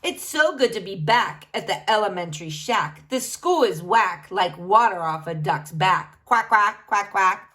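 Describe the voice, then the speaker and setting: A woman's voice: mid-range voice, lively intonation, unhurried, pushed and bright, voice pushed down. A woman voicing a flashy, boisterous male rapper who chants in rhyme, in a children's storybook reading. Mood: boisterous, showy. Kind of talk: children's storybook read-aloud.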